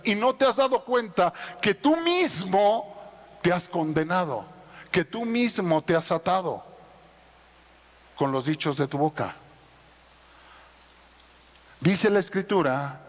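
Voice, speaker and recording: A middle-aged male pastor preaching a sermon, building to loud emphatic peaks. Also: a little high, in a large hall. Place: church.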